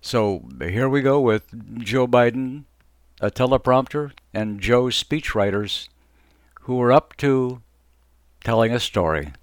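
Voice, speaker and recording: A man's voice: mid-range voice, slow, no echo, studio quality. A man hosting talk radio with sardonic, emphatic political commentary, repeating phrases for effect. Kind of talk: conservative political commentary monologue.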